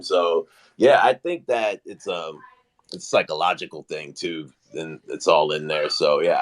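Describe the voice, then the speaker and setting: A man's voice: sits low, a bit bright. A man sharing his thoughts in a casual, rambling, laid-back way on a group panel. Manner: rambling, laid-back, says "you know" often. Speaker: panel participant. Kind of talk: casual chat, thinking aloud.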